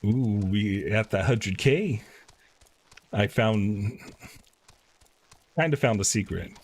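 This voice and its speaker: Low husky male game streamer. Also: animated, slow pace. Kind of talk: casual live-stream chat and reading game dialogue aloud.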